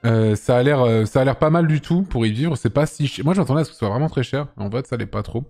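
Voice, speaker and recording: A man hosting a casual chat, a little hoarse, teasing and joking with his guests and correcting himself as he goes. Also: mid-range voice, quick pace, darkish tone, soft background music. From France. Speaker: host.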